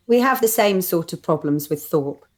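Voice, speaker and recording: A woman's voice: on the low side, expressive, normal speed, a little mellow, lightly acted. A woman playing an earnest, forthright character who questions directly in a comic radio drama. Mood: indignant concern.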